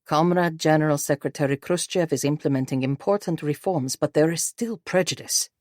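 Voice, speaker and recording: Woman as cautious husband, low voice, measured, firm, quietly persuasive, audiobook dialogue, dry recording. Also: no echo, natural voice. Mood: guarded, firm. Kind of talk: audiobook character voice.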